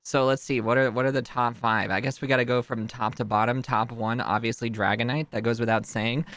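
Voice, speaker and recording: A man's voice: level tone, a little fast, fairly bright tone, dry recording. A man streaming alone, rambling casually and interrupting himself, his nose stuffed up from crying. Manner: rambling, self-interrupting, chuckling, jumps in pitch.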